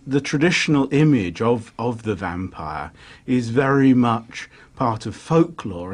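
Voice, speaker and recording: A man, a historian, giving thoughtful, slightly hesitant interview answers. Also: lowish voice.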